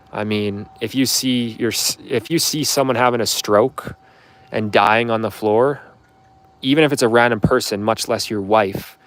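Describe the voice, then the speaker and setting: A man in his twenties chatting casually on a livestream, answering viewer questions in a rambling, offhand way full of fillers. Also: lowish voice. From Canada. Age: 20s. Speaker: livestream host.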